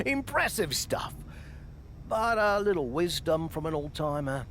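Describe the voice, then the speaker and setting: A sly old-timer wrestler. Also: male voice, mid-range voice, background noise. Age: older. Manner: sly, advising, drops to whispers. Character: veteran male wrestler, old-timer mentor. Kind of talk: video game cutscene acting.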